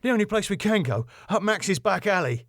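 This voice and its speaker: A man's voice: high-pitched, animated, brisk, fairly bright tone, no echo, clean studio sound, pitched above the performer's own voice. A man voicing a harried, grumbling man in a sitcom, urgent, blunt and exasperated. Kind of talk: sitcom script read aloud.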